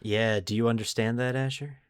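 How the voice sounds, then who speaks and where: A man's voice: mid-range voice, fairly even intonation, brisk, clear, resonant tone, dry recording, clean studio sound. A man hosting a podcast, narrating fictional war lore like a storyteller and stressing key phrases. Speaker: podcast host.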